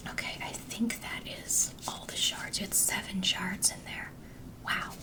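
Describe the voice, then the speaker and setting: A woman doing an ASMR doctor roleplay, speaking gently and reassuringly to a patient in soft whispers. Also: mid-range voice, steady tone, a little slow, far from the mic.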